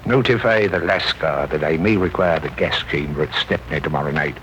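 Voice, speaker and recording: An actor playing a calculating, menacing criminal mastermind in a radio drama, measured, ominous and commanding. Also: male voice, extremely low pitch, a bit bright. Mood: cold menace. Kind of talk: 1930s radio acting. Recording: muffled, noisy 1930s radio recording.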